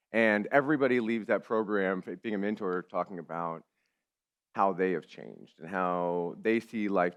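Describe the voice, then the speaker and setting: A man speaking sincerely on a panel about mentoring others, in a steady, personal manner. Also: on the low side. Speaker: panelist, corporate volunteer mentor lead. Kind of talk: panel discussion answer. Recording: dull lo-fi audio.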